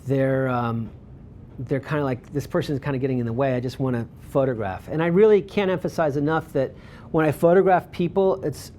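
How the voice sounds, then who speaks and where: A man presents his work to a live audience in a relaxed, meandering way, pausing often on ums and restarting sentences. His pitch sits a bit high for a man. A faint hiss and rumble runs under the voice. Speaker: photographer giving a talk.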